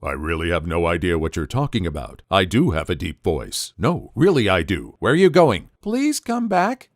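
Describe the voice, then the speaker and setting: A man voicing an insecure young man who fakes a deep voice and bluffs, then pleads. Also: very animated, normal speed, no echo, clean studio sound. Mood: defensive.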